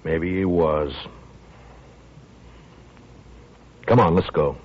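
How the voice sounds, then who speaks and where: A man acting a calm, firm frontier lawman in a 1950s radio western, laconic and authoritative with dry humor. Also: sits low, moderate pace. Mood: stern. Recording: noisy muffled vintage 1950s radio recording.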